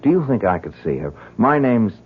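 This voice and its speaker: An American actor playing an earnest, suspicious young English instructor in an old-time radio drama, speaking in a polite, hesitant, probing way. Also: male voice, fairly low pitch, sing-song, a little fast, breathy edge, narrow, tinny audio. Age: young adult. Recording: thin, band-limited vintage radio recording.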